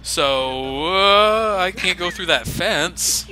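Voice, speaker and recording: Bright, lively man mocking a game. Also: sits high, extremely slow, rough recording. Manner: sarcastic, laughing at the game. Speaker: let's play commentator. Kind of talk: casual game commentary.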